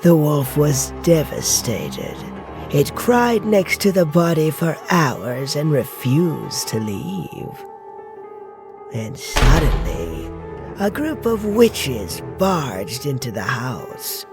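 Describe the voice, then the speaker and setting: An actor playing a calm storyteller in a comic dub, telling children a legend in a measured, expressive way. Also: mid-range voice, heavily acted. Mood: grave. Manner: measured, unhurried, expressive delivery. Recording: music behind the voice.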